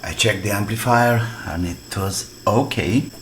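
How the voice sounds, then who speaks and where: Low-voiced, slow-talking man. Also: over loud music. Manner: halting, thinking aloud in short fragments. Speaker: electronics repair hobbyist presenting his restoration. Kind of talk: casual hands-on repair commentary. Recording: clearly noisy recording with clicks.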